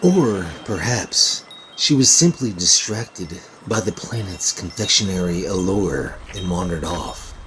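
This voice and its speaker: Man playing pompous, deep-voiced sci-fi scientist. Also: lively intonation, a little slow, a bit bright, a little hiss or hum, poor audio. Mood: clinical fascination. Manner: pompous, precise, clinical phrasing. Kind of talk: sci-fi audio drama sitcom acting.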